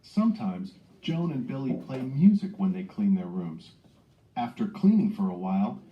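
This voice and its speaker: Animated male storybook narrator, dull echoey sound. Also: mid-range voice. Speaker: read-aloud narrator. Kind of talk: scripted children's storybook narration. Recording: dull lo-fi playback in echoey room.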